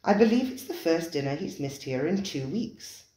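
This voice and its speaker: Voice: mid-range voice, animated, normal speed, mellow, natural voice. A reader voicing an uneasy, timid man who speaks faintly and hesitantly. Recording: roomy echoing room.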